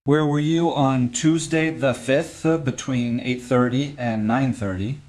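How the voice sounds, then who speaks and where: Man acting police detective, medium pitch, blunt, sardonic, probing, accusatory, crime radio play. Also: fairly even intonation, a little slow, darkish tone, close to an everyday voice. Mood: stern. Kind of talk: audio crime drama acting.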